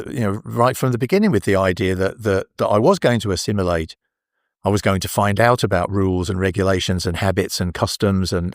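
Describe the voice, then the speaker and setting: Older man, english accent, very low voice, dry close sound, hesitant. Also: no echo. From England. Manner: hesitant, many restarts and fillers. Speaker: guest. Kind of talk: casual interview.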